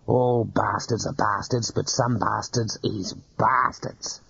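A man voicing a gruff, laconic outback trucker who drawls low and grumbling. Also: steady tone, takes it slowly, fairly bright tone, no room sound, muffled recording, exaggerated voice.

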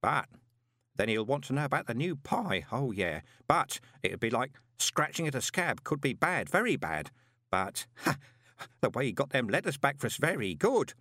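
A man playing an anxious, superstitious old junior postman who mutters and rambles in rough colloquial speech. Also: medium pitch, very animated, normal speed, sharp tone, dry recording, studio quality, pitched above the performer's own voice. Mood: muttering, excited.

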